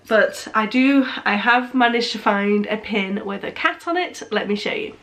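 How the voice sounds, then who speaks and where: A woman's voice: medium pitch, fairly bright tone. A young woman vlogging in a casual chatty way, sounding nasal from a cold and often restarting her sentences. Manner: chatty, hesitant with frequent restarts. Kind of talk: casual chat.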